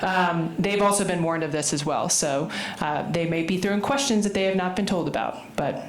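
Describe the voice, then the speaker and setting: A woman moderating a conference panel, lively and playful, with a loud voice. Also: on the low side, normal speed. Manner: lively, playful, talks loudly. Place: conference room. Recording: roomy echo, faint room noise.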